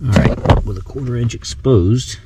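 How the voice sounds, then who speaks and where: A man's voice: mid-range voice, normal speed, heavy background noise. A man giving a casual hands-on DIY tutorial, thinking aloud as he works. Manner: thinks aloud while working. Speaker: host of a DIY vehicle radio install video.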